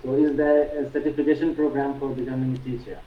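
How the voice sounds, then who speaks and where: A man's voice: mid-range voice, little pitch movement, fast talker, muffled recording, a little hiss or hum. Indian man on an echoey, distant call. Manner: inquisitive, asks a string of questions. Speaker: guest on an English practice call. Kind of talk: casual conversation. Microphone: video call.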